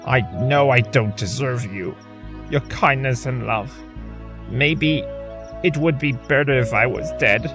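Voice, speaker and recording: A man playing a remorseful, lovestruck male trickster spirit in an audio drama, speaking in a halting, earnest way, with music under the voice. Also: mid-range voice, lightly acted. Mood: tender, self-doubting. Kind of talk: fan audio drama acting.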